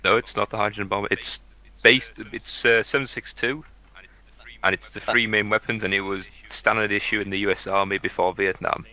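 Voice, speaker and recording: A man's voice: lowish voice, steady tone, brisk, sharp tone, rough recording. A young man chatting casually with friends over gaming voice chat and quizzing them.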